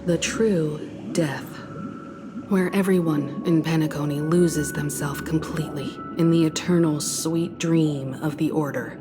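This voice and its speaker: A woman playing a composed, serious, caring expedition companion in a video game, speaking calmly and formally. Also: low-pitched, unhurried. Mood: grave. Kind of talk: dramatic video game voice acting. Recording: game music behind the voice.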